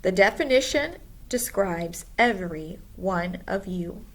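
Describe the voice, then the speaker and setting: A woman's voice: mid-range voice, expressive, measured pace, a little hiss or hum, natural voice. A woman voicing a stern, no-nonsense schoolteacher in a storybook, speaking with firm emphasis. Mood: brisk, commanding. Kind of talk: storybook character voice.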